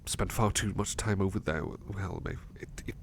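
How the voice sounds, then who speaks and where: Guy doing a nervous devoted butler for asmr roleplay, soft n stammery, creaky voice, bit of background noise. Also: low voice, moderate pace. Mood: troubled, reluctant, then wistful, pleading. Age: middle-aged or younger adult. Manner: hesitant, stammering, soft-spoken, drifting into whispers. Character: devoted, anxious butler, haunted runaway from another world.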